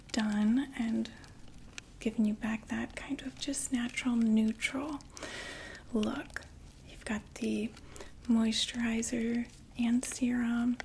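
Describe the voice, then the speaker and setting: A woman in an ASMR roleplay voicing a chatty undead female vampire makeup saleswoman, whispering softly and reassuringly. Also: fairly high pitch, creaky, a little hiss or hum. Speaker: ASMR performer.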